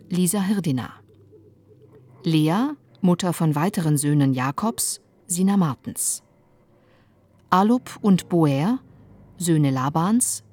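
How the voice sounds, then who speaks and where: A woman announcing the title and cast of a radio drama. Her voice is slightly low, neutral and formal, reading scripted credits over faint music. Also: a little mellow.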